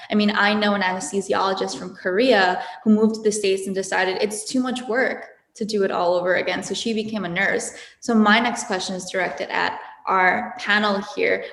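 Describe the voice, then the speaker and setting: A woman's voice: mid-range voice, fairly bright tone. A woman hosts a podcast interview, friendly and chatty as she poses questions. The room is echoey with a long reverb tail. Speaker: host.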